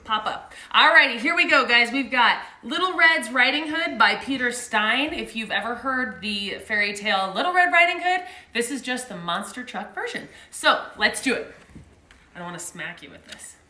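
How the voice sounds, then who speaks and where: A woman's voice: fairly high pitch, lively intonation, normal speed, pushed and bright, slight background noise. A woman hosting story time, reading a picture book aloud to children in an upbeat, chatty way. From Colorado, United States. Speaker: story time host and reader. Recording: echoey room.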